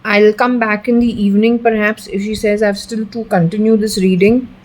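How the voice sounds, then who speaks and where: A woman's voice: mid-range voice, flat delivery, moderate pace, darkish tone, rough recording. A woman with an Indian accent, giving a solo spiritual reading and speaking earnestly to an unseen spirit. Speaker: tarot and occult reader.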